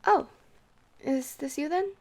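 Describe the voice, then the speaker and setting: A woman's voice: high-pitched, slow pace. A woman playing a shy, friendly vampire who rambles hesitantly and flirts sweetly in an ASMR roleplay. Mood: shy, warm. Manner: hesitant, rambling, soft-hearted, awkwardly stammering.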